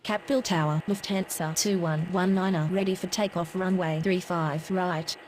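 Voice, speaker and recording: A woman's voice: fairly low pitch, steady tone, moderate pace, a little mellow, slight background noise, close to an everyday voice, poor audio. A woman playing a businesslike airport radio operator in a simulator game, with clipped, formal, scripted phraseology. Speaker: air traffic radio voice.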